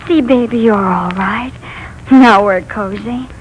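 A woman's voice: high-pitched, big pitch swings, moderate pace, lo-fi sound, a little hiss or hum. An actress playing a fussy, haughty invalid wife who rules her home with tears, in a 1940s radio play. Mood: tender.

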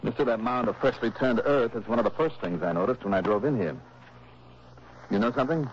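An actor playing a cool, dogged insurance investigator in a 1960s radio drama, speaking in a clipped, dry, commanding way. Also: male voice, mid-range voice, a little fast, lightly acted. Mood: skeptical, probing. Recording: noisy, dull old radio recording.

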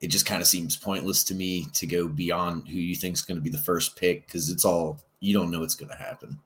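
A man's voice: low voice, fairly even intonation, fairly quick. A man co-hosting a casual sports podcast, laid-back and hedging with lots of "like" and "I mean". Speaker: podcast co-host.

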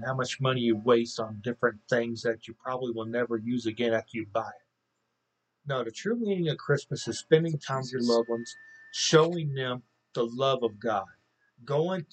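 A man co-hosting a faith podcast, talking casually and off the cuff in halting phrases. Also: mid-range voice, rough recording. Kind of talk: casual unscripted talk.

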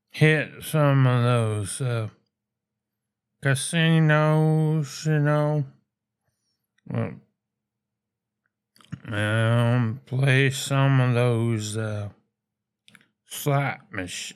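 A man from Montana leaving a rambling comic phone message as a caller, halting and folksy, full of fillers and restarts, chuckling at his own jokes. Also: medium pitch, smooth.

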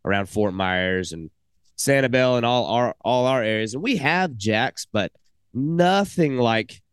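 A man's voice: mid-range voice, expressive, unhurried, clear, resonant tone, dry recording. A man hosting a casual podcast interview, talking enthusiastically and rambling with frequent restarts. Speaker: podcast host.

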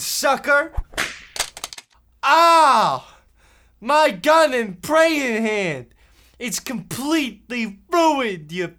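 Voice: high voice, fairly bright tone, exaggerated voice. An actor playing a scheming, trigger-happy Depression-era boy with folksy, melodramatic delivery in a comic radio play. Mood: outraged. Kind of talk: comic parody radio drama.

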